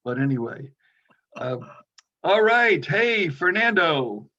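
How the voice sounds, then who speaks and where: A man hosting an online meeting who asks the participants to introduce themselves, speaking in a halting way with many fillers. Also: fairly high pitch, big pitch swings, pushed and bright. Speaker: meeting host. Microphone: video call. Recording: dull lo-fi audio.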